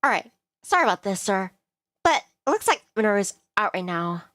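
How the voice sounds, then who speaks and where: A woman's voice: medium pitch, sing-song, brisk, fairly bright tone, no echo. A woman voicing a polite, eager young public relations worker, earnest and a little hesitant, in a visual novel. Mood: helpful, professional.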